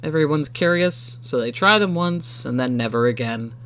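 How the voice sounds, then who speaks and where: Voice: medium pitch, lively intonation, normal speed, a bit bright. A storyteller voicing a blunt male farmer in a story, casual and dismissive. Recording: background music under the voice.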